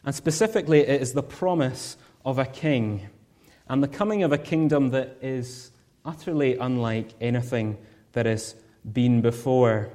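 A married Scottish man giving a sermon as a guest preacher, earnest and measured, with rhetorical questions. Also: medium pitch, dark tone. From Scotland.

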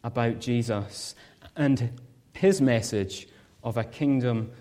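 A married Scottish man giving a sermon as a guest preacher, earnest and measured, with rhetorical questions. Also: mid-range voice, background noise. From Scotland.

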